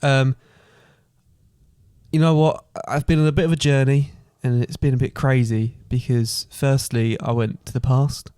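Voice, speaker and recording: A man's voice: medium pitch, fairly even intonation, unhurried, mellow, clean tone, no echo, clean studio sound, close to an everyday voice. A man playing a bumbling, puzzled miser in an improvised comic amateur pantomime, rambling hesitantly in an everyday voice. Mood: rambling, tired. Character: chatty, bumbling Scrooge, tired and puzzled.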